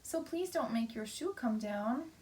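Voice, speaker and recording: A tiny storybook ant, played by a woman, speaks in a high voice. It pleads earnestly and bravely, leaning on its words to be heard. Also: animated, measured pace, a little mellow. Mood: indignant pleading. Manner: pleading, emphatic storybook delivery.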